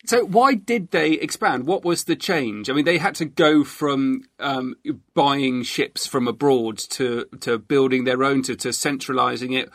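A man's voice: medium pitch, a little slow, hardly any pauses, fairly bright tone, no echo, studio quality. A man hosting a podcast, introducing and interviewing with emphatic, enthusiastic, well-formed phrasing.

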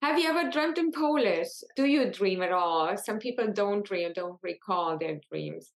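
A woman's voice: medium pitch, expressive, moderate pace. A woman hosting a podcast interview who asks short, curious questions. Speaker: podcast host.

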